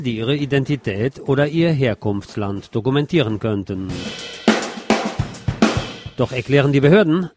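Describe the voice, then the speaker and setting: Radio news presenter, male, mid-range voice, reading a scripted report over prominent percussive music. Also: medium pitch. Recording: loud drum music under voice. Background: loud music with drums.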